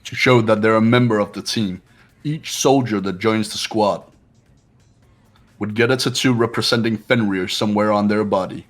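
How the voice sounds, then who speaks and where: A man playing a proud, analytical high school student in a fan-dubbed video game, speaking in a haughty, deliberate way. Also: close to an everyday voice. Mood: cool, analytical. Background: game music.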